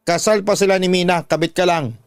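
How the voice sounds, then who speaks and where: A man's voice: high voice, a little fast, clear, resonant tone, no echo, studio quality, pitched above the performer's own voice, lightly acted. A man voicing a fierce, protective young woman in a radio drama, scolding in an indignant, emphatic way. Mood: furious, commanding. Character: fierce, protective young woman confronting her father's mistress. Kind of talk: Tagalog radio drama acting.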